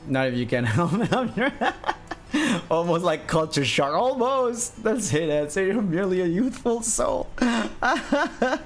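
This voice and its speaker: A man's voice: sing-song, takes it slowly, faint music behind. A man in his forties chatting casually on a livestream, rambling and laughing often. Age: 40s. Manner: rambling, laughs often, mimics people in a high voice. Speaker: VTuber streamer. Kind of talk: casual chat while drawing on stream.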